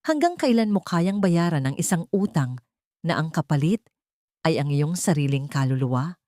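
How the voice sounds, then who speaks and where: A woman's voice: sits low, dark tone, no room sound, studio quality. A woman narrating a scripted audio story in a dramatic storytelling style.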